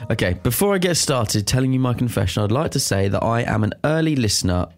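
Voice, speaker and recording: A man's voice: medium pitch, animated, fast talker. Giggly man reading confessions. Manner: giggly, breaks into laughter while reading aloud. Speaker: podcast co-host reading listener confessions. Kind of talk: casual podcast chat.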